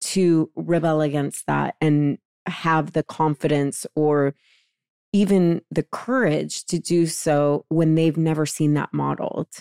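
Woman, podcast co-host, low pitch, casual earnest talk, very dry sound. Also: steady tone, takes it slowly, creaky, a little mellow, clear, resonant tone, no echo.

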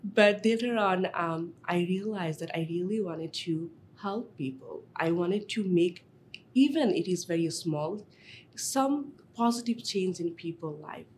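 A woman with a Bangladeshi accent tells a personal story in a lively, animated way, her phrasing clearly non-native. Her voice sits a little low for a woman. She speaks as a psychiatrist looking back on why she chose her field. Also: unhurried, a little hiss or hum. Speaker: child and adolescent psychiatrist.

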